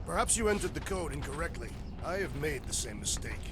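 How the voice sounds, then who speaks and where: An actor playing a solemn, literal-minded alien warrior in a video game, with formal, declamatory and deadpan delivery. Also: male voice, on the high side, brisk, background noise. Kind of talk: video game cutscene acting. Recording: roomy sound under loud music.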